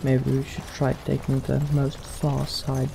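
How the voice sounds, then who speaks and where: Man with a creaky, gravelly voice. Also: medium pitch, completely flat delivery, a little slow, background noise. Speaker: let's play host role-playing a character. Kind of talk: casual gameplay commentary with read-out role-play lines.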